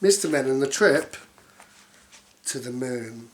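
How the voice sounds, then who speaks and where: A woman's voice: a bass voice, animated, takes it slowly, a little mellow. A woman reading a children's story aloud in a silly, theatrical way, dropping in playful asides.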